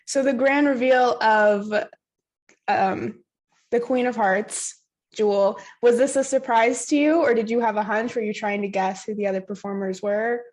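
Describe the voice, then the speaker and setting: A woman's voice: a little high, moderate pace, a little mellow. A woman interviewer asking loose, casual questions, filled with "like" and "you know".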